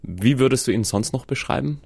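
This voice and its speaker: A man interviewing a guest, asking calm questions and giving brief backchannels. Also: medium pitch, fairly quick, vocal fry, breathy edge, no room sound.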